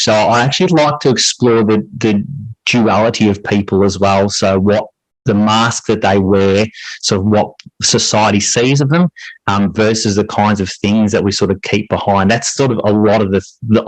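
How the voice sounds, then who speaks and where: A man answering interview questions conversationally as a podcast guest, reflective and halting as he pieces his thoughts together. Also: on the low side, dry recording, poor audio. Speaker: podcast guest, teacher and writer.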